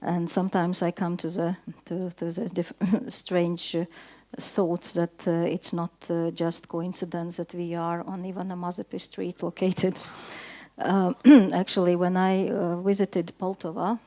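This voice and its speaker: A woman with a Latvian accent speaking as a panelist at a conference, halting often with fillers and restarts. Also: fairly low pitch, level tone, warm tone. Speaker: diplomat panelist. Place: conference hall.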